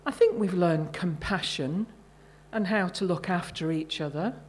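A woman voicing an elderly father, a gentle, wistful and loyal husband, in a short story reading. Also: fairly low pitch, measured pace, slight background noise, close to an everyday voice. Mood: tender.

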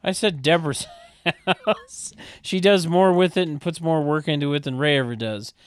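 A man narrating a comedy table read in a deadpan way, with mocking sardonic asides. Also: on the high side, normal speed, clean tone. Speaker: narrator reading stage directions.

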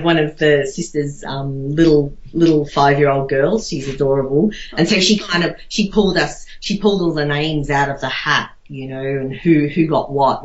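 A woman chatting casually in an interview, hesitant and thinking aloud as phrases trail off. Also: low-pitched. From Australia. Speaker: interviewee, artist. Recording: dull lo-fi, roomy echo.

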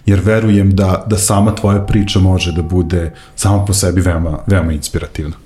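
A man's voice: sits low, fairly even intonation, brisk, warm tone. A man hosting an interview, inviting guests to talk and affirming their answers. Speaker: host.

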